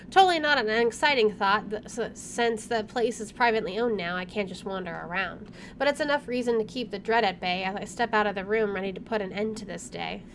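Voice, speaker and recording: A woman's voice: medium pitch, a bit bright, slight background noise. A woman hosting a let's play, reading visual novel narration aloud, stumbling over words now and then and adding side comments.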